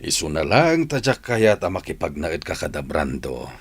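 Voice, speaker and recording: A man playing a kindly older uncle in a radio drama, speaking in a slow, fatherly manner. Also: very deep voice, sing-song, slightly rough, no room sound, exaggerated voice. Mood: earnest, caring. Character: older gruff kindly uncle supporting his nephew's studies.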